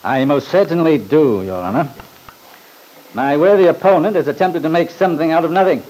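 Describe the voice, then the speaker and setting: Actor as a scornful, higher-voiced courtroom attorney. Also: male voice, fairly quick, a little mellow, close to an everyday voice, poor audio. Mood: pompous, accusing. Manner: pompous, formal, sarcastic. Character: scornful, badgering male attorney, pompous and theatrical. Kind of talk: old-time radio drama acting.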